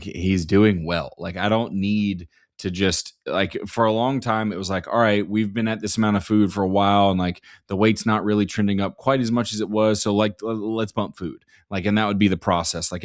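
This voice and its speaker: A man's voice: deep voice, no echo, clean studio sound. A man in his early thirties, hosting a podcast and coaching, talking loosely with lots of "like" and some swearing. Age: 30s. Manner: loose and swearing, many "like" fillers. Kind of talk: casual podcast chat.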